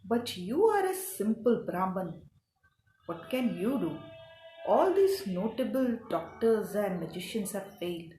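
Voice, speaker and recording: A woman's voice: medium pitch, big pitch swings, a little slow, warm tone, reverberant, faint music behind, pitched below the performer's own voice, rough recording. A woman voicing a worried, impatient male king in a folk tale. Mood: doubtful. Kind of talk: folk tale character acting.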